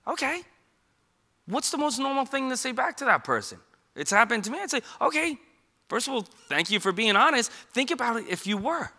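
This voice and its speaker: A man's voice: very high voice, sing-song, brisk, pushed and bright. A middle-aged man with a New York accent, giving an informal public talk on suicide prevention, conversational and emphatic, with frequent rhetorical questions. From Queens, New York.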